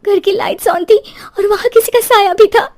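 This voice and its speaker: Sharp-tongued, excitable young housewife for an audio horror tale female reader, very high, dramatic, scolding. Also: moderate pace. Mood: indignant.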